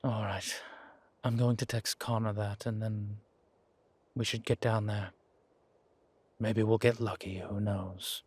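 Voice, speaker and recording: Man playing a wry, weary low-voiced vampire. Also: flat delivery, a little mellow, husky, dry recording. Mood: musing, calm. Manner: wry, measured, formal phrasing with sighs. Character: sardonic, weary male vampire, protective of a little companion. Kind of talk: scripted audio roleplay drama.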